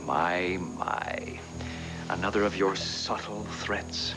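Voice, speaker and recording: A cool, formal hotel owner with a very low voice. He speaks in measured, unhurried sentences laced with dry sarcasm. The tone is daytime soap drama. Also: steady tone, music in the background. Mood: firm.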